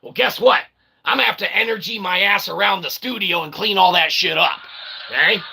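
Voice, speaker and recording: A man's voice: high voice, a little fast, vocal fry, pushed and bright, husky. A man giving an informal, rambling explainer in a brash, irreverent, self-deprecating way, often checking in with short tag words. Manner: repeatedly tags phrases with "okay" and "all right". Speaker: independent streamer explaining ideas.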